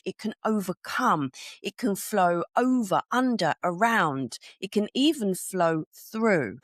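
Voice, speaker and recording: A middle-aged woman hosting a spiritual podcast, with a croaky voice, talking earnestly and conversationally and stopping for little laughs. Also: medium pitch, no room sound, studio quality. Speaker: podcast host. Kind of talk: solo spiritual podcast talk.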